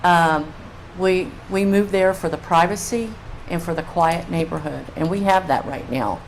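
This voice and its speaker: An older woman with a fairly low voice gives public comment as a local resident. She talks informally into a meeting microphone, with faint room noise behind her. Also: a little slow, poor audio.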